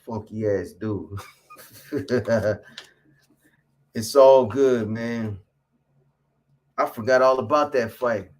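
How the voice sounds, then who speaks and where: Man in his fifties, livestream host, relaxed street slang, cussing, mid-pitched voice. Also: drawn-out words, long pauses. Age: 50s. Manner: laid-back, profane street slang, frequent "man" and "bro". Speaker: livestream host reading viewer comments. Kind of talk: casual livestream chat.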